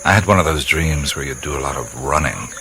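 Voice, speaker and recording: An actor playing a curious, soft-spoken adventurer man who muses and hesitates, in a radio play. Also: extremely low pitch, animated, a little fast, noisy background. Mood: musing. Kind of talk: radio drama acting. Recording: radio play with loud night insects.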